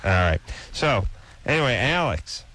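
A man's voice: medium pitch, big pitch swings, a little slow, sharp tone, low-quality recording. A man hosting a radio call-in show, bantering casually in a blunt, mocking way and often cutting in.

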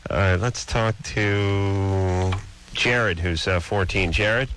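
A man's voice: sits low, fairly even intonation, slow pace, a bit bright, slight background noise. A young man hosting a call-in radio show, chatting casually with callers and cracking sarcastic jokes. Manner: sarcastic, joking asides.

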